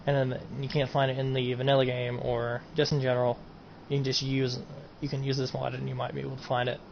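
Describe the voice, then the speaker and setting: A man's voice: mid-range voice, completely flat delivery, quick pace, gravelly. Male gaming streamer, casual and repetitive, mid-range voice on a dull lo-fi mic. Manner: rambling, repeats himself, trails off with "and everything". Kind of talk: casual mod showcase. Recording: dull lo-fi home recording, faint noise.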